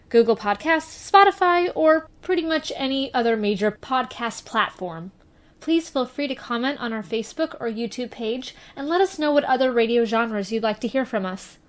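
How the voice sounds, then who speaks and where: A female announcer delivers scripted closing narration for an audio drama podcast. Her voice is a little higher than usual. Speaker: announcer for a radio drama group.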